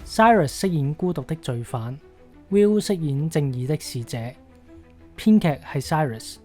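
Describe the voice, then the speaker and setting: A very low-voiced woman with a Hong Kong Cantonese accent reads scripted end credits as an announcer. Her tone is dark and mellow, with music softly underneath. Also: animated, measured pace. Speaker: announcer reading closing credits.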